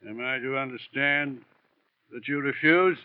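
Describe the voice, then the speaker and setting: Actor as a pompous silver-tongued crook, thin old radio. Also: male voice, mid-range voice, a little slow, clear, resonant tone, no room sound, phone-quality sound, lightly acted. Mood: politely firm. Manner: florid, formal, long-winded diction. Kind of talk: old-time radio drama acting. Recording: thin, band-limited vintage radio recording.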